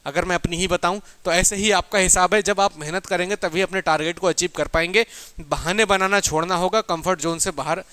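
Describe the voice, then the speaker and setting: High-pitched, bright man teaching. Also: steady tone, a little fast, smooth, dry recording. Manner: emphatic, colloquial, asks and answers own questions. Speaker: exam coaching teacher. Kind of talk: informal motivational lecture to students.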